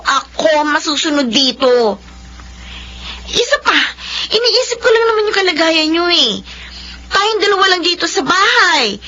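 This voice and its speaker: A woman's voice: extremely high pitch, big pitch swings, a little slow, long pauses, bright, forceful tone, heavily acted. An actress in her thirties playing an emotional, tearful daughter and office officer who also narrates, in a radio drama. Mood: scolding. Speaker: lead character and narrator. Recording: dull lo-fi radio recording with music.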